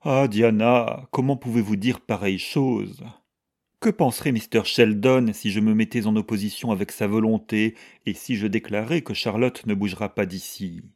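A man's voice: lowish voice, fairly even intonation, brisk, few pauses, darkish tone, close to an everyday voice. A man voicing an anxious, submissive middle-aged widow with a doleful, gushing manner in an audiobook. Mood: doleful protest.

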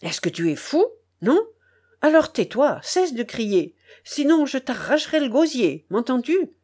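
A woman's voice: sits high, very animated, measured pace, darkish tone, voice pushed up, heavily acted. A woman voicing an angry, domineering grown man who calls himself a prince, in a scolding tirade from a storybook reading. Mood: furious.

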